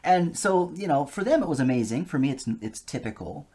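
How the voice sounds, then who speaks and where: An animated man talks in a relaxed interview, and his pitch rises and falls a lot as he gets excited. He laughs easily and speaks with eager enthusiasm. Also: fairly quick, husky. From United States. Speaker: guest, polyglot. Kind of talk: casual interview conversation.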